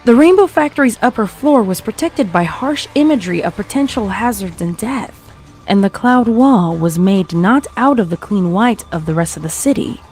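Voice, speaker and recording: A man reading a scripted dark horror story as its narrator. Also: high-pitched, animated, normal speed, soft background music. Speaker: fan fiction narrator.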